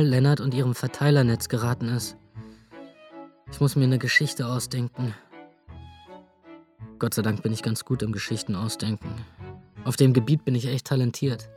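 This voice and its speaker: Actor doing a thoughtful teenage boy, radio drama monologue, steady middle pitch, soft music under. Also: moderate pace, close to an everyday voice. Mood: brooding. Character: young son plotting a cover story.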